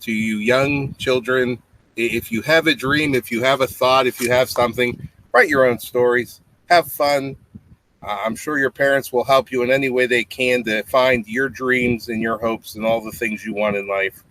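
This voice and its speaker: A man's voice: medium pitch, fairly even intonation, normal speed, a bit bright. A man in his fifties reading a children's bedtime story aloud, adding simple explanatory asides for young listeners. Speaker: storybook reader, parent. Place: small indoor home room with low tiled ceiling. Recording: clearly noisy home webcam audio with clicks.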